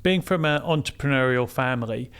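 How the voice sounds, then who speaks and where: A man's voice: medium pitch, brisk, fairly bright tone, clean tone. A middle-aged man hosting a business podcast, reading a polished intro and then asking interview questions. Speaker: podcast host, CEO.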